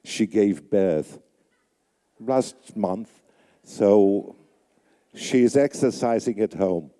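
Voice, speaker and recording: An older man, raised on Yiddish, speaks slowly into a microphone on a conference stage, picking his words with small pauses. His voice is low and very dark, and it rings out in a large reverberant hall. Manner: deliberate, halting with fillers. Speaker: radio announcer hosting a conference. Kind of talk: emcee remarks on stage.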